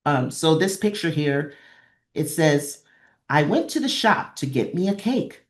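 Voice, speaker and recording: Woman, slightly low voice, training presenter, instructional, encouraging, repeats points for emphasis. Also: big pitch swings, measured pace. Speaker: presenter of a librarian training session. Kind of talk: instructional webinar talk with examples.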